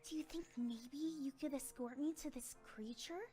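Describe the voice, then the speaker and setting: A woman voicing a gentle, motherly pegasus pony mare in a storybook reading, timid, tender and fretful. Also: high voice, normal speed, soft background music, pitched above the performer's own voice, natural voice. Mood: gentle concern. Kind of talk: storybook character voice in fan fiction reading.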